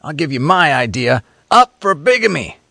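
A man voicing a cynical, folksy storyteller with a rasping chuckle, talking in old slang. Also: on the high side, very animated, normal speed, sharp tone, no echo, studio quality, exaggerated voice. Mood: sardonic.

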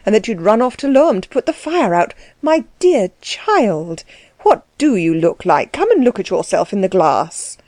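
Woman playing a brisk, motherly princess. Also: mid-range voice, big pitch swings, a little mellow, no room sound, lightly acted. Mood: fondly chiding. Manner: fond, fussing, brisk. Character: motherly, brisk, worldly-wise German princess.

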